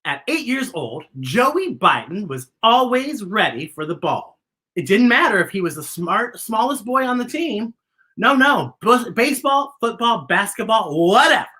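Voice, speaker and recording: Very animated, bright-voiced woman reading to kids. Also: fairly high pitch, measured pace. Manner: playful, theatrical, exclamatory. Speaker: children's story time host. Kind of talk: animated picture-book read-aloud with chatty asides to children.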